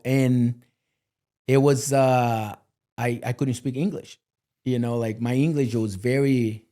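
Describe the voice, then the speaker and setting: Male guest, mellow and earnest, motivational, filler-heavy. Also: medium pitch. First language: Portuguese. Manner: earnest, motivational, lots of "you know" fillers. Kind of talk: candid podcast interview.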